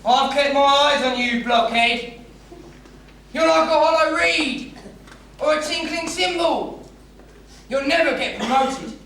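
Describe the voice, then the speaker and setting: A man playing a crude, contemptuous military bully on stage, hectoring in a gravelly voice. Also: extremely high pitch, slow pace, pauses often, full character voice. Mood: contemptuous, scolding. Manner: hectoring, rhetorical questioning. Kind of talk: stage drama acting. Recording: echoey large hall, distant, loud noise.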